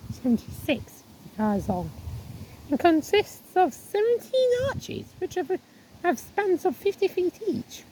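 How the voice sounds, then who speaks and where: A woman with a croaky voice walking outdoors, chatting casually to her viewers and reading signs aloud with many restarts. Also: very high voice, a little mellow, slight background noise, poor audio. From England. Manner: rambling, restarts words, reads signs aloud haltingly. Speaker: vlogger. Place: outdoors on a viaduct.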